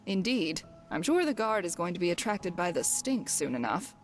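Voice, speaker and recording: A woman's voice: on the low side, sing-song, moderate pace, soft background music. A woman playing a brash, wry undying thief and adventurer as a companion in a fantasy video game, speaking theatrically. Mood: cocky, friendly. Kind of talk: voiced game dialogue.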